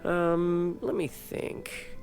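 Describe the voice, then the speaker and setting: A man's voice: high-pitched, fairly even intonation, very slow, darkish tone, soft background music, exaggerated voice. A man playing a plain-spoken, weary fisherman villager in video game dialogue. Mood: reflective.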